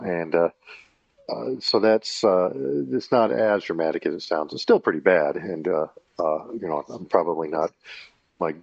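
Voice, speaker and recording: A man in his fifties talking in a casual rambling monologue, halting and full of fillers and self-corrections. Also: low-pitched, a little mellow, no room sound, lo-fi sound. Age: 50s. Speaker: channel host.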